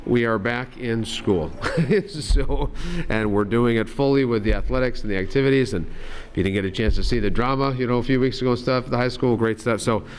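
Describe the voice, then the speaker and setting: A man, a school district administrator, runs a public board meeting in a meeting room, talking in a friendly, halting way with many "uh"s and restarts. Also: mid-range voice, clear, resonant tone.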